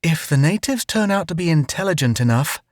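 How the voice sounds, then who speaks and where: A man putting on a high, bossy young woman voice, gushing and overly polite in a grand way, then yelling and flustered, with a wide lively swing in pitch. it's a storybook character in a dry, close read. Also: a little fast, dry recording. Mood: scheming, sweetly polite.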